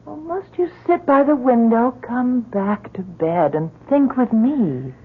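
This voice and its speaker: Gushing young society wife: high, very animated, theatrical, thin vintage radio sound. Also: female voice, slow, slightly rough, soft background music, full character voice. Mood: coaxing. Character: vivacious, adoring young wife and socialite. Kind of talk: old-time radio drama acting. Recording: thin lo-fi old radio broadcast.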